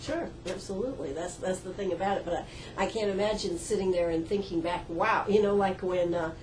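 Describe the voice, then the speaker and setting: A woman's voice: fairly low pitch, fairly quick, a little mellow, a little hiss or hum, low-quality recording. A woman hosting a radio interview in an art gallery, chatty and effusive, with a folksy way of talking. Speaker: radio host.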